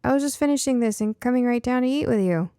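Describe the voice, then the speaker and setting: A woman's voice: on the high side, fast talker, dark tone, clean tone, no room sound, clean studio sound, voice pushed up, close to an everyday voice. A woman voicing a curious, bookish and stubborn young daughter in an audiobook story, earnest and a little hesitant. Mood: sheepish, casual.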